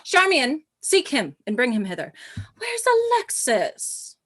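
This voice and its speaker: Woman doing a jealous bossy queen, dramatic swoopy delivery, highish voice, shakespeare radio drama. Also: wildly swooping pitch, unhurried, a bit bright, slight background noise, exaggerated voice. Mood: imperious. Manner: imperious, melodramatic. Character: Cleopatra, capricious, imperious, jealous queen. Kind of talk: theatrical Shakespearean radio play acting.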